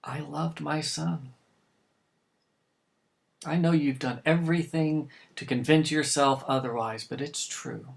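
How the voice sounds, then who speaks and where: A man's voice: fairly high pitch, fairly even intonation, gravelly. Man playing a stern, defensive middle-aged father. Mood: stubborn, sorrowful. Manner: defensive, halting. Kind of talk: dramatic dialogue acting.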